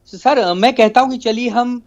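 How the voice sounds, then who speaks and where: A man's voice: very high voice, unhurried, darkish tone. A male party spokesman debating as a remote guest, insistent and exasperated, repeating phrases over a lo-fi link. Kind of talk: heated political debate.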